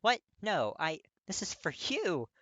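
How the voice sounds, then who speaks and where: Male reader as friendly teenage boy, raised pitch, bright tone, eager, emphatic, casual, storybook character. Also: sing-song, takes it slowly, dry recording, highs cut off, heavily acted. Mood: surprised, eager.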